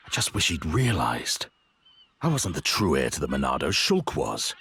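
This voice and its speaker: A man voicing a grave, honourable, regretful warrior in a video game, earnest and measured. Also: extremely low pitch, very animated, a bit bright, slight background noise, heavily acted. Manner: earnest, measured, formal, brooding. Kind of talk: dramatic video game voice acting.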